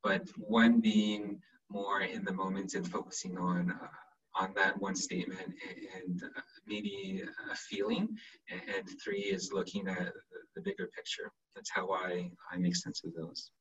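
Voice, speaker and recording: A soft-spoken man giving an online lecture, hesitant and often repeating his words, who checks in with "right?". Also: low voice, measured pace. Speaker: webinar presenter. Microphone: computer microphone on a video call. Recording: muffled video call audio.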